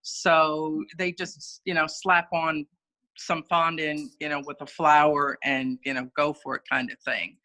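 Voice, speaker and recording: A woman's voice: sits low, little pitch movement, measured pace, sharp tone, rough recording. An older woman chatting on a video call, rambling with many fillers. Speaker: guest cookie artist and teacher. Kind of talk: casual video-call chat.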